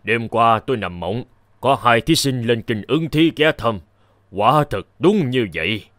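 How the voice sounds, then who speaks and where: A man playing a mysterious, solemn old monk in a folk-tale cartoon, speaking in a drawn-out manner. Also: mid-range voice, animated, fairly bright tone, no room sound, studio quality. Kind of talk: animated folk-tale dubbing.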